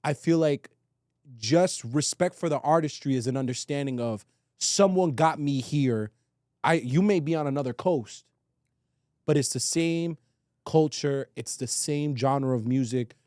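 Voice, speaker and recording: A man's voice: on the high side, a little slow, a little mellow, no echo, clean studio sound. A man talking casually and with opinions as a podcast co-host, slangy and emphatic, swearing and repeating phrases for weight.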